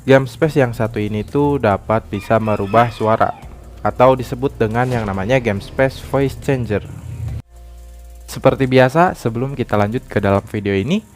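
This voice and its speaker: A man hosting a phone app tutorial, explaining step by step in repetitive phrasing. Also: mid-range voice. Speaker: tutorial channel host. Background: background music.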